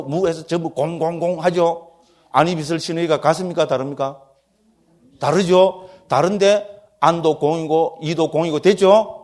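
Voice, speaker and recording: A man speaking with a Gyeongsang accent, a Buddhist monk lecturing on doctrine. His voice sits a little high for a man. He keeps checking that his listeners agree, with a lively, teasing tone. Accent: Gyeongsang Korean. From Gyeongsang region, Korea.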